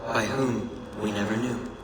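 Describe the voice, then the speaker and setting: An actor playing a solemn messenger of a lost ancient civilization in a video game, measured, cryptic and portentous. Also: male voice, fairly low pitch, fairly even intonation, natural voice. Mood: solemn regret. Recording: echoing chamber with loud ambient noise.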